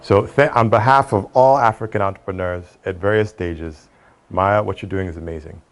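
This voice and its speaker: A man's voice: low-pitched, normal speed. A middle-aged man from the United States with an American accent, speaking articulately and earnestly as an executive in a conversational interview. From Cleveland, United States. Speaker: real estate executive being interviewed.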